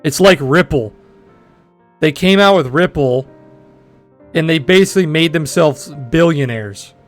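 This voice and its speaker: A young man in his twenties with a deep voice, chatting bluntly and profanely with viewers on a trading livestream. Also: lively intonation, a little slow, faint music behind. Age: 20s. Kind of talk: casual livestream chat answering viewers.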